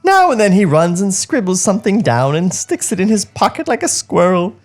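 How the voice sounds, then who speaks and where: A man puts on a bitter housewife from a 1930s screenplay, pitched high and swooping with exasperation. She nags and complains with long-suffering sarcasm. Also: big pitch swings, brisk, faint music behind. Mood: bitter complaining. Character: bitter, nagging 1930s housewife fed up with her husband.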